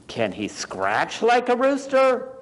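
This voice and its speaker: A man's voice: very high voice, huge pitch swings, slow pace, a little hiss or hum, voice pushed up, heavily acted. A man voicing a bossy, scornful farmyard hen, demanding and dismissive, in a children's storybook.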